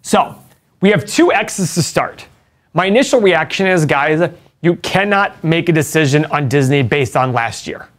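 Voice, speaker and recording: A man's voice: a little high, expressive, moderate pace. A middle-aged man co-hosting a casual finance chat, explaining numbers step by step and asking rhetorical questions. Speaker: co-host and stock analyst.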